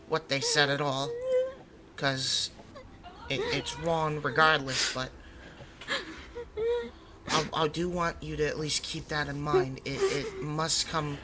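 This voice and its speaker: Woman playing low-voiced protective, soothing mother. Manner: gentle, earnest, halting reassurance. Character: protective, reassuring mother of a bullied daughter. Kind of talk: audio roleplay drama acting.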